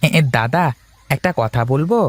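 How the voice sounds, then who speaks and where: A man's voice: a little high, expressive, moderate pace, natural voice. A man voicing a deferential, sympathetic cartoon tea-stall keeper who hesitates as he begins his phrases. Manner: hesitant, starts phrases with a drawn filler. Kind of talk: acted cartoon dialogue.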